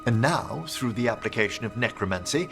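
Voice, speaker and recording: A man's voice: medium pitch, expressive, brisk, creaky. An actor playing a genteel, scholarly necromancer in a video game, theatrical and precise in his courteous phrasing. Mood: scholarly delight. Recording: music behind the voice.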